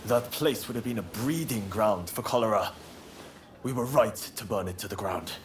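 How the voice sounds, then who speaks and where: An actor playing a stern rebel plotter in a game cutscene, giving orders in a commanding, businesslike way. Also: male voice, lowish voice, normal speed, a little hiss or hum, close to an everyday voice. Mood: commanding, determined. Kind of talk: video game cutscene acting.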